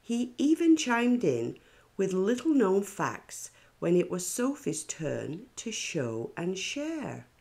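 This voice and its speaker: A middle-aged woman reading a children's picture book aloud with expressive narration. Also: mid-range voice, takes it slowly, gravelly. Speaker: children's story reader.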